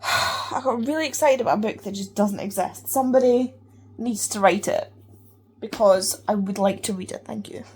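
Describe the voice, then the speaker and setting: Woman book vlogger gushing about a book, excitable, mid pitch. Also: normal speed, husky. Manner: excited, gushing, trails off to a whisper. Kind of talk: casual vlog chat.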